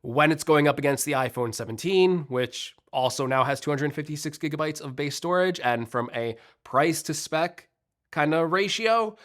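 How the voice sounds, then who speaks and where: Male tech vlogger, congested and a bit rough, chatty upbeat delivery. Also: fairly high pitch, lively intonation, normal speed, fairly bright tone. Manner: chatty, upbeat, self-deprecating. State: congested, slightly rough voice from recovering illness. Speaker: tech channel host. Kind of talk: casual tech news vlog.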